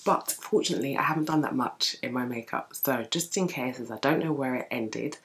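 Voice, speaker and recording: A young woman with a deep early-morning voice, chatting through a makeup tutorial at home while she works on her eyes. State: just woken, deep morning voice. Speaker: beauty vlogger. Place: home room with bookshelves, indoors.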